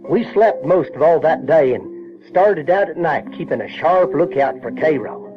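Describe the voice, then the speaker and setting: An actor playing an uneducated, conscience-troubled Southern boy who narrates an audio drama in a halting, rustic way. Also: fairly high pitch, expressive. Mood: plain, storytelling. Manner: halting, repeats words, rustic grammar. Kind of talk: folksy first-person audio drama narration. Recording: dull vintage radio-drama recording, music behind.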